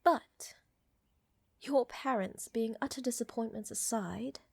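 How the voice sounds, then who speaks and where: A woman playing a flirtatious, doting aristocratic vampire countess in an intimate ASMR audio roleplay, with a soft, breathy murmur and elegant, teasing, soothing phrasing. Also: fairly high pitch, slow, no echo, full character voice. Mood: tender, flirtatious.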